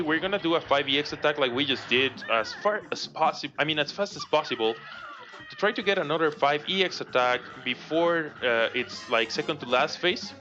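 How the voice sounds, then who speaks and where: Spanish-accented Mexican man, 30s, relaxed live gameplay commentary; muffled stream audio over clear game music. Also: fairly high pitch. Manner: relaxed, chatty, laughs often, reads chat aloud. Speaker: speedrunner.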